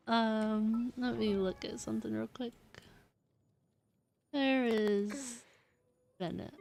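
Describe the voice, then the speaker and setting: A woman with a fairly high voice chats to her viewers as she plays a game on stream. She takes her time, speaking at an unhurried pace. Her manner is playful and teasing, given to giggles. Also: fairly even intonation, gravelly, a little mellow, a little hiss or hum. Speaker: livestreamer, VTuber. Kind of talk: casual gaming stream chat with viewers.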